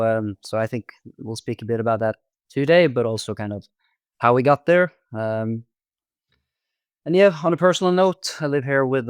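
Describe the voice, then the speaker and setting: Smooth-voiced young Norwegian man, halting delivery. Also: medium pitch, no echo. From Norway. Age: 20s. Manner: halting, with many ums and repeated words. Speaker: podcast guest, amateur marathon runner. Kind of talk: casual interview chat.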